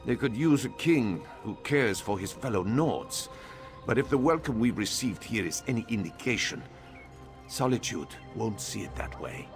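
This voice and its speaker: A man voicing a serious young nobleman in a video game, speaking gravely and thoughtfully over game music. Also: lowish voice, expressive, moderate pace.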